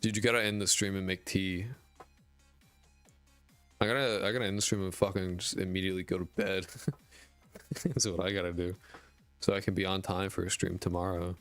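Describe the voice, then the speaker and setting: A man streaming after twelve hours, his raspy voice worn out, who mumbles and rambles casually with lots of fillers and swearing. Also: low-pitched, little pitch movement, fairly quick, long pauses, fairly bright tone, soft background music. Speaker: indie game dev streamer. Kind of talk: casual live-stream chat reading viewer messages. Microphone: desk mic with a high-pass filter.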